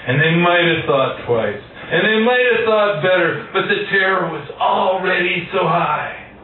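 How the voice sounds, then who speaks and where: A man reading poetry aloud to a live room, declaiming in short, measured phrases with weighty pauses. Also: sits high, expressive, slight background noise. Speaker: poet, featured reader. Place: indoor cafe venue at a live reading. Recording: roomy, distant, muffled live recording.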